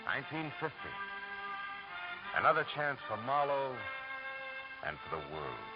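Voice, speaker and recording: An actor playing a tough, wry private detective in a 1940s radio drama, terse and commanding. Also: male voice, medium pitch, big pitch swings, unhurried. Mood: brooding, wry. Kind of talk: 1940s radio acting and first-person noir narration. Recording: dull old radio recording with music.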